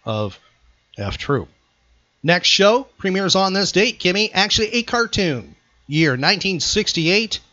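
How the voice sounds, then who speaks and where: A man with a fairly high, very animated voice hosts casual trivia banter. He teases with mock disbelief, close and dry on slightly muffled audio. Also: unhurried, dry recording. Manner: teasing, mock-incredulous. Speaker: trivia podcast host.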